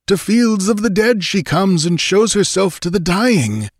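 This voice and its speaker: Man acting a high-pitched, wild old Norse warrior. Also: lively intonation, a little slow, dry recording, clean studio sound. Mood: wild, haunted. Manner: fervent, declamatory. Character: older wild-eyed Norse warrior, fervent and haunted storyteller. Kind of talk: dramatic fantasy audiobook acting.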